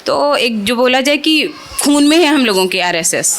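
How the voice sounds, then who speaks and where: Higher-pitched woman talking casually. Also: lively intonation, normal speed. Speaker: local resident being interviewed. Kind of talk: casual spontaneous interview answer.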